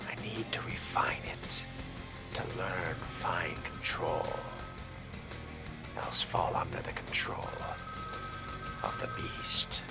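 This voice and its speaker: Man playing a deep, slow, menacing vampire. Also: gravelly, exaggerated voice. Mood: brooding. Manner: slow, theatrical, formal, brooding. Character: menacing power-hungry fledgling male vampire, coldly arrogant. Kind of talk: dramatic role-play monologue over a video game. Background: loud music.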